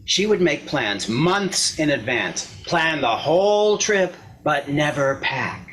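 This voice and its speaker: A man playing a wounded, self-justifying husband looking back on a failed marriage in a measured, reflective dramatic monologue reading. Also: a little high, lively intonation, a bit bright, a little hiss or hum. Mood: aggrieved. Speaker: actor in a readers theater performance.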